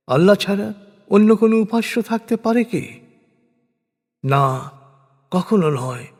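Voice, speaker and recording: A man reading scripted religious narration in a solemn, measured, declamatory way, with deliberate pauses. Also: high voice, darkish tone. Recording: long reverberant hall echo.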